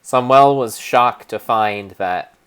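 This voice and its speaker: A man narrating his own gameplay as a solemn, theatrical dramatic reading, with pauses and small slips. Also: mid-range voice, fairly bright tone, clean tone. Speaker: game streamer narrating play. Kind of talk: mock-dramatic storybook narration over gameplay.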